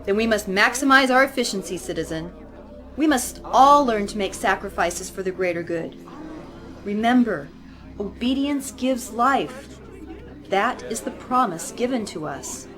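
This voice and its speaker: An actress playing a stern, zealous religious leader in an audio drama, speaking in a formal, commanding, preaching way. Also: female voice, mid-range voice, lively intonation, unhurried, fairly bright tone. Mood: solemn, preaching. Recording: music playing behind the voice.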